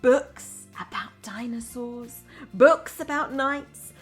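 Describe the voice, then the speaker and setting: Slow-paced woman reading a children's story. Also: fairly high pitch, faint music behind. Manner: expressive, addresses young children simply. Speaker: primary school teacher, storyteller. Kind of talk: children's storybook read-aloud with asides.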